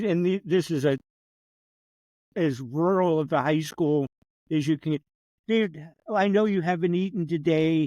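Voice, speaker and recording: A man in his sixties, a professor, sits in as a podcast guest. His voice sits fairly high for a man, and he tells his stories with chatty, wry humor. The sound is close and dry. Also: darkish tone, dry recording. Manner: reminiscing storyteller, chatty and wry. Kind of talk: casual conversational interview.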